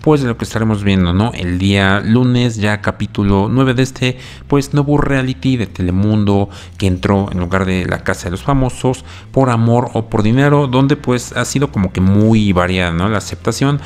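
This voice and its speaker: A man's voice: lowish voice, moderate pace, few pauses, darkish tone, clear, resonant tone. A man hosting a video channel in a casual, conversational way, commenting off the cuff and often checking in with tag questions. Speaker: YouTube host recapping reality TV. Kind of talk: casual scripted-free commentary to subscribers.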